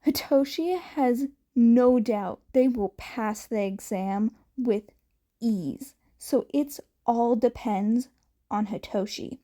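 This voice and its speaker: A woman narrating a scripted story in a measured way, with the occasional stumble over a word. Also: a little high, mellow. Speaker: fan fiction reader.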